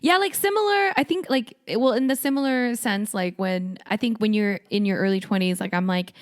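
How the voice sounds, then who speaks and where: A woman's voice: mid-range voice, a little fast. Casual, informal woman in her thirties. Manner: informal, frequent "like", giggly. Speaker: podcast co-host. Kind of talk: casual podcast chat.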